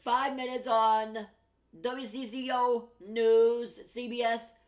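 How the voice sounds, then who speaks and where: A woman's voice: fairly high pitch, flat delivery, slow pace. A woman hosting a reaction video, reacting casually and off the cuff with folksy mild exclamations.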